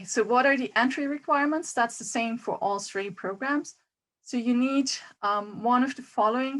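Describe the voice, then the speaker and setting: A woman's voice: medium pitch, fairly bright tone, rough recording. A woman who coordinates a degree programme, giving an orderly, explanatory information talk.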